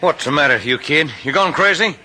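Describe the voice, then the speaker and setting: A tough, bossy crook in a vintage radio thriller. He speaks curtly in a slightly high voice, over a clearly noisy old recording. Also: lively intonation, quick pace, sharp tone. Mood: angry, commanding. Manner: curt, bossy. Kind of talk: 1950s radio drama acting.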